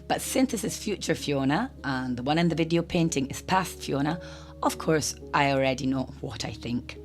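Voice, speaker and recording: A woman hosting a watercolour channel, talking in a hoarse, croaky voice from a cold as she narrates a chatty tutorial voice-over. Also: low voice. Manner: chatty, explanatory, lightly humorous. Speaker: art channel host. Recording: voice-over with guitar music behind.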